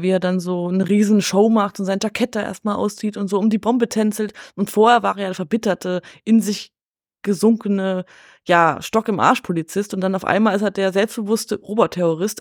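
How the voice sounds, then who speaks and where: A woman's voice: mid-range voice, steady tone, brisk, no room sound, clean studio sound. A woman in a casual film discussion, speaking in a colloquial, blunt way as a podcast guest.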